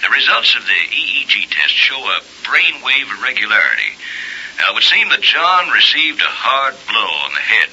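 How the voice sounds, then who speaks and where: An actor playing a sceptical, paternal middle-aged family doctor, probing and authoritative, in old-time radio mystery style. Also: male voice, medium pitch, big pitch swings, normal speed, pushed and bright, husky, exaggerated voice. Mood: grave, confiding. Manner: probing, authoritative, clinical, emphatic. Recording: hissy, dull old radio recording.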